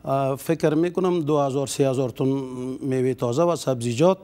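A middle-aged man, the head of a trade union, answering questions in a TV studio with many pauses and fillers. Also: mid-range voice, dark tone. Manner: hesitant, formal greetings, many fillers. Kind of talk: studio interview. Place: TV news studio.

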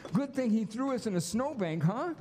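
An older man playing a gentle, earnest old guardian angel in a live radio play. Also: extremely high pitch, animated, normal speed, a little hiss or hum. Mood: patient, earnest. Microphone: stand microphone on stage. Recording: reverberant school auditorium stage. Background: music.

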